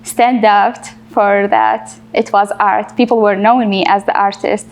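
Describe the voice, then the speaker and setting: A woman's voice: medium pitch, fairly even intonation, measured pace. A young woman from Iraq, a painter, answering interview questions in an earnest, plain way.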